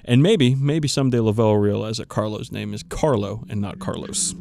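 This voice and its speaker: A man's voice: lowish voice, brisk, creaky. A man co-hosting a podcast in casual, teasing banter with some swearing. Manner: profane, teasing. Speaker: podcast co-host.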